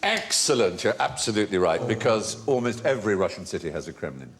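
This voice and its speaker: Lively, low-voiced English quiz show host. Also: male voice, fast talker, slight background noise. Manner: erudite, explanatory, easily amused. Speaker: panel show host, quizmaster. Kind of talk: comedy panel show hosting.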